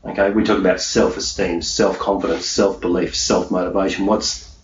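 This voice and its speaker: Low-voiced man, echoey distant recording. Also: flat delivery, normal speed. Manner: emphatic, self-assured, repeats key phrases. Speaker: peak performance hypnosis coach being interviewed. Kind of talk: motivational conversational interview answers. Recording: echoey room, distant mic, dull sound, faint noise.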